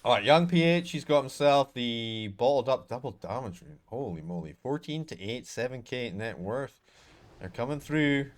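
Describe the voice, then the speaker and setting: A Scottish man casting a live video game match on his own, talking to chat between fights and getting loud and excited in the action. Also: mid-range voice, moderate pace. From Scotland. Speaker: esports caster. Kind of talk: solo live game commentary with chat asides.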